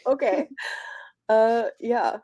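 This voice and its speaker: A woman's voice: fairly high pitch, drawn-out words, dark tone, highs cut off. A woman co-hosting a podcast, discussing books casually in a tentative, meandering, conversational way. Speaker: podcast co-host.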